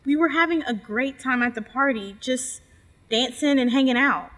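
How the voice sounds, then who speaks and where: Woman playing shaken female college student, early adulthood. High register, halting, confessional stage monologue. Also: fairly bright tone. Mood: wistful, confiding. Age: young adult. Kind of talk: readers theater monologue.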